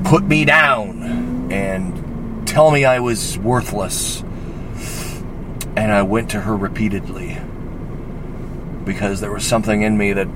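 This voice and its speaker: A man hosting a faith podcast, talking earnestly and rambling with frequent "right?" asides, his voice gravelly from a cold. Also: lowish voice. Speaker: podcast host. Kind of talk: reflective faith podcast monologue. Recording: loud road and traffic noise.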